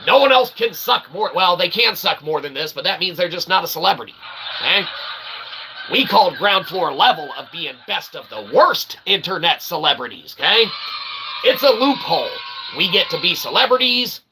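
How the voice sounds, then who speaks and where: A man hosting a casual, rambling livestream, self-deprecating and excitable, speaking of himself as "we.". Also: sits high, normal speed. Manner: refers to himself as "we". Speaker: livestream host. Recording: clearly noisy background ambience.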